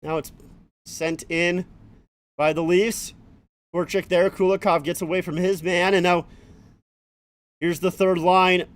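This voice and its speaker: A man's voice: sits high, little pitch movement. A man streaming a live hockey watch-along, calling the play-by-play and chatting with viewers between plays. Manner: rapid running play-by-play, swears, chats with viewers.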